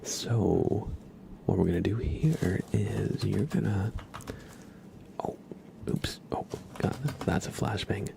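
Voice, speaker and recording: Man, ASMR streamer, very low pitch, gravelly creak, soft breathy murmur, soothing, repetitive. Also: steady tone, noisy background. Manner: slow, soothing, repeats words softly. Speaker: ASMR livestreamer, host. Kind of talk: ASMR, slow whispered roleplay and chat to viewers.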